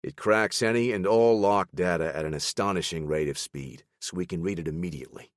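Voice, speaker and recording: Man doing a calm male team lead, brisk, plainspoken. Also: deep voice, dry recording, studio quality, lightly acted.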